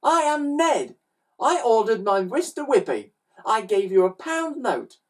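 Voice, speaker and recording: A woman's voice: on the high side, sing-song, unhurried, recorded from a distance, voice pushed up. A woman voicing an indignant, determined young boy in a storybook, with an emphatic, snappy way of declaring things. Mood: enraged, defiant.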